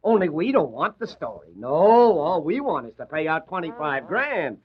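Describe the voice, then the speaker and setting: Whiny sarcastic reporter from a 40s radio show, swingy delivery, muffled old recording. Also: male voice, a little high, very animated, normal speed, breathy edge. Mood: exasperated, sarcastic. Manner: sarcastic, griping. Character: excitable sarcastic male newspaper reporter, griping at his boss. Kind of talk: 1940s radio acting.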